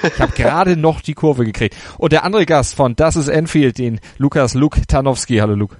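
A man hosting a sports podcast, moderating smoothly with small jokes. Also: fairly high pitch, normal speed, darkish tone, no room sound. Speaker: podcast host.

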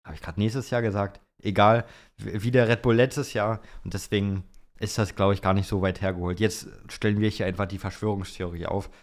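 A man's voice: on the low side, steady tone, brisk. A young man co-hosting a podcast, chatting informally with youthful slang. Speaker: podcast co-host. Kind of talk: casual podcast chat.

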